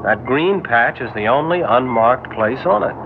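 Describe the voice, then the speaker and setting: An observant, uneasy spaceship crewman, with a mid-pitched voice and a questioning, insistent manner. He sounds like a subordinate in a vintage science-fiction radio play, on a tinny and noisy recording. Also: expressive, a little slow. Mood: puzzled, insistent. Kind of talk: old-time science-fiction radio drama acting.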